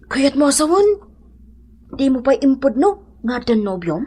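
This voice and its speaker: Prying, excitable Ilocano neighbour, high lively voice, comic radio drama. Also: female voice, measured pace, slightly rough, heavily acted. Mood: teasing. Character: nosy, teasing neighbour woman, comic and excitable.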